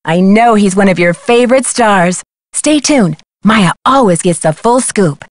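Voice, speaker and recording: A young woman playing an earnest, bold amateur sleuth in a video game, speaking clearly and inquisitively. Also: mid-range voice, animated, unhurried, no room sound, clean studio sound, lightly acted. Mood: bright, chatty.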